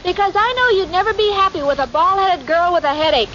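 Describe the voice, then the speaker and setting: A dull, very noisy old radio recording of a sweet, muddle-headed girl. Her voice is extremely high and girlish. She speaks with earnest, literal-minded innocence in 1940s comedy style. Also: expressive, fast talker. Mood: earnest, confused. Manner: naive, literal-minded, plaintive. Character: dim, sweet, muddle-headed young woman, eager to please.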